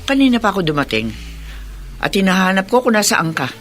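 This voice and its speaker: A woman's voice: medium pitch, sing-song, brisk, faint music behind, natural voice. An actress playing a hostile older mother-in-law in a radio drama, with a Filipino accent and a curt, accusing, sarcastic manner. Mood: scornful, accusing.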